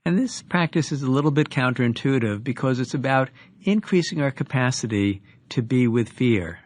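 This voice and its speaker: A man leading a guided meditation as a teacher, giving instructions with deliberate pauses between phrases. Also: mid-range voice, lively intonation, darkish tone.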